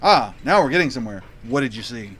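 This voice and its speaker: An actor playing a clueless, quirky dad detective, narrating in an earnest, scene-setting way for a comic audio drama. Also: male voice, medium pitch, lively intonation, fast, fairly bright tone, slight background noise, natural voice. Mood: puzzled. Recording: faint music under the voice.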